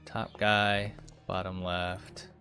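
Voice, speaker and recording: A man's voice: low voice, flat delivery, extremely slow, pushed and bright, faint music behind. A man running a tabletop roleplaying game as game master, giving rulings in casual talk.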